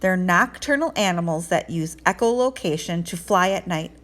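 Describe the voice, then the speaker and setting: A woman's voice: lowish voice, lively intonation, moderate pace, a bit bright. A stubborn but earnest schoolboy from a children's storybook as a woman reader plays him. He talks plainly and a little grudgingly. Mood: sheepish.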